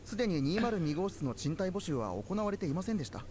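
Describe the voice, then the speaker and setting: An actor playing a nervous, apologetic real-estate agent in a visual novel game. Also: male voice, high-pitched, big pitch swings, very fast, a little mellow, a little hiss or hum. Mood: nervous, hushed. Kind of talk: Japanese visual novel voice acting.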